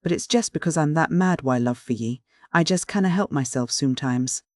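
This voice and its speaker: A man voicing a rough, earnest shepherd with a husky Northern English dialect, blunt and stubborn. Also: a little high, brisk, no room sound, studio quality, close to an everyday voice. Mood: pleading. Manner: blunt, pleading, dialect-heavy.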